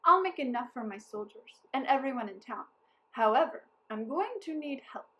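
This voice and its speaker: Woman reading as a high-voiced, wily soldier captain. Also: expressive, moderate pace, a bit bright. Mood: persuasive. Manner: emphatic, persuasive. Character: confident, wily male soldier captain, cajoling and courteous.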